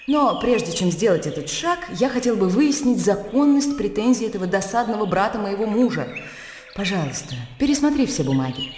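A woman's voice: medium pitch, very animated, darkish tone, a little hiss or hum, close to an everyday voice. Actress as a self-assured lady, echoey. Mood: businesslike. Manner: brisk, businesslike, assured. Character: practical, self-assured, calculating widowed lady of an estate. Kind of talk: radio drama acting. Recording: echoey room with long reverb.

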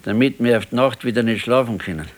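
Weary old Bavarian husband played by an actor. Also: male voice, mid-range voice, close to an everyday voice. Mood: weary, resigned. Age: older. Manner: resigned, halting, plain-spoken. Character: ailing, weary, brooding old retired husband. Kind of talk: naturalistic 1970s radio drama acting.

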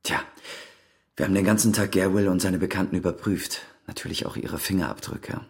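A dogged detective questions in a matter-of-fact, probing way. The voice is low and gravelly, in a radio play with faint noise behind it. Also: male voice, fairly even intonation, a little fast. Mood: probing, businesslike. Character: dogged, suspicious male police detective. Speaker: radio drama actor.

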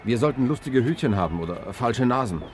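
An actor playing a persuasive, self-assured amateur sleuth and husband in a 1960s German radio crime play. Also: male voice, mid-range voice, expressive, fast, close to an everyday voice. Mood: wry, alert. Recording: noisy early-1960s radio recording with clicks.

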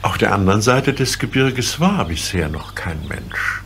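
Old emperor, male actor: grave, stately, very unhurried, formal diction, children's audio drama. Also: on the low side, big pitch swings, a bit bright, a little hiss or hum, full character voice. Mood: solemn. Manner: stately, formal, measured old-fashioned speech. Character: dignified, solemn old Emperor of China, worried father. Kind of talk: radio play acting for children.

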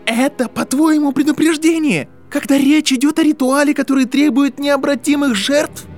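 A man's voice: sits high, very animated, moderate pace, a little mellow, pitched above the performer's own voice. A man voicing an anguished, accusing boy wizard in an audiobook, with a trembling, heated delivery over music. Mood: shouting, outraged.

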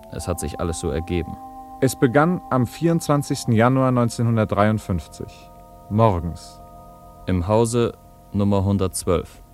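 An actor playing a sombre narrator, delivering terse, measured literary lines for a radio drama. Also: male voice, low voice, a little mellow. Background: resonant music.

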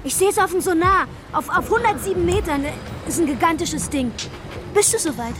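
An actress playing a keen woman on a diving boat in a radio drama, calling out in clipped, excited phrases. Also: very high voice, normal speed, over music.

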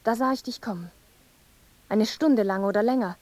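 A woman's voice: medium pitch, moderate pace, darkish tone, natural voice. Mournful, visionary young wife played by a woman. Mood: anguished. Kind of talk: poetic radio play acting.

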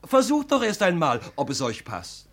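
A man's voice: high-pitched, moderate pace, exaggerated voice. Swooping, jovial pushy salesman. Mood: persuasive. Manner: persuasive, flattering, exclamatory. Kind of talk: 1950 German radio drama acting.

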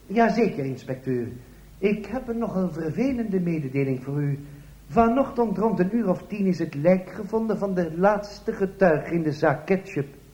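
A man's voice: sits high, expressive, moderate pace, dark tone, echoey room, lo-fi sound, voice pushed up. A man playing an eager, dutiful police sergeant who reports deferentially in a comic radio play. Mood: eager, deadpan.

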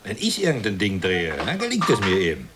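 A man's voice: on the low side, huge pitch swings, fairly quick, background noise. A man playing a cold, greedy, cynical middle-aged husband in a German radio play, with a sneering manner. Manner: callous, sneering, laughing cruelly. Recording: loud music behind the voice.

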